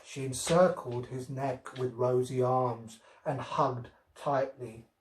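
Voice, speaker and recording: Slow-paced male storyteller in a roomy space. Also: medium pitch, fairly even intonation. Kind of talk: expressive scripted story narration. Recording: roomy, echoing sound.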